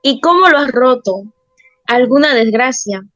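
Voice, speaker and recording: Woman acting high-voiced young wife, Adelaida. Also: lively intonation, a little slow, fairly bright tone, no room sound, highs cut off, soft background music. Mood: questioning, concerned. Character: Adelaida, anxious young superstitious Andean wife. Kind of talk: amateur radio drama acting.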